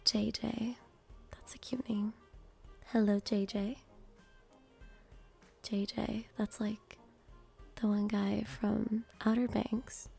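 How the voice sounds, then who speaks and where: A woman doing ASMR as a host, greeting people one by one in short, gentle phrases, moving between a breathy whisper and soft speech. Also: mid-range voice, flat delivery, slow, pauses often, soft background music. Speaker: host greeting viewers by name. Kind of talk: ASMR name reading.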